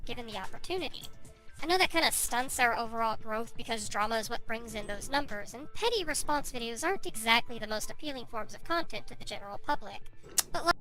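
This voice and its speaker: High-pitched woman narrating over music. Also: lively intonation, fast, sharp tone, poor audio. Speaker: online commentary video creator. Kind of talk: casual video essay narration.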